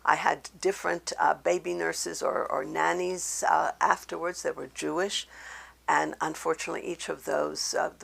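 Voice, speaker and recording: Woman in her eighties from Slovakia, German mother tongue, very gravelly, husky voice, giving measured oral history testimony with many pauses. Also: low-pitched, level tone, a bit bright. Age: 80s. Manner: halting, deliberate, measured. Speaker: Holocaust survivor giving testimony.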